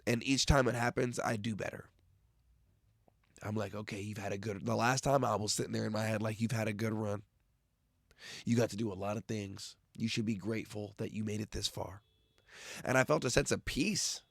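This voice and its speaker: A young man in a loose, profane rant to his viewers, his voice raspy from the weather. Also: on the low side, steady tone, moderate pace, dry recording, clean studio sound. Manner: loose, profane, rambling with restarts. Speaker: vlogger. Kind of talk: casual rant to camera.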